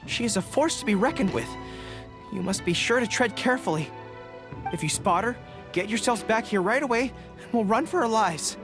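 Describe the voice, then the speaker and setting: An actor playing an earnest, loyal young general in an anime video game, formal and a little flustered. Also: male voice, high-pitched, lively intonation, normal speed. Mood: cautious, flustered. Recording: game music behind the voice.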